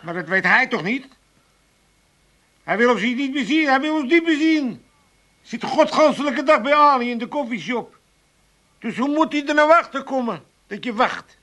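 Meddling father, high animated voice. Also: moderate pace, pauses often, exaggerated voice. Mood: exasperated. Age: middle-aged or older. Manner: blustery, colloquial. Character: meddlesome, grumbling Amsterdam family father and landlord. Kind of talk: 1980s comic radio play acting.

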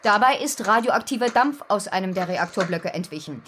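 An actress playing a serious female news anchor, putting measured, probing questions in a formal radio-play interview. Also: medium pitch, lively intonation, fairly bright tone, slight background noise, lightly acted.

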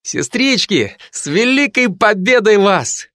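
A man doing a brisk young lieutenant. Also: high-pitched, sing-song, fairly bright tone, dry recording, studio quality, pitched above the performer's own voice, exaggerated voice. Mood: cheerful. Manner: brisk, friendly. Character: young lieutenant, cheerful, commanding.

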